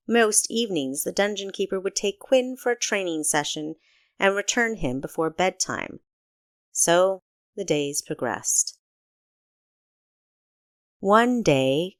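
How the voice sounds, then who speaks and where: A woman reading a story aloud as narrator in an expressive, scripted storytelling manner. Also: fairly low pitch, no room sound, studio quality. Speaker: author narrating own audiobook.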